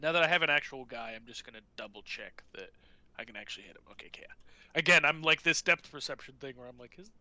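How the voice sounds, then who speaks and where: A man's voice: medium pitch, lively intonation, fast talker, pushed and bright. A man playing a tabletop role-playing game, chatting casually in a joking, foul-mouthed way.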